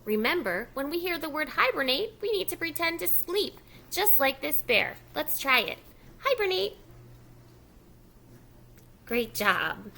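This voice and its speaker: A woman working as a preschool teacher, reading a picture book aloud expressively and pausing with encouraging, simple prompts for young children. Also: high-pitched, big pitch swings, creaky, pushed and bright.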